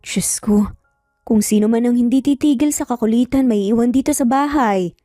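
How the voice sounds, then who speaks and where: A woman's voice: on the high side, normal speed, warm tone, clean studio sound, natural voice. A woman voicing an affectionate mother of young children in a story, playfully scolding and indulgent. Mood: mock scolding. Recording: faint music, roomy echo.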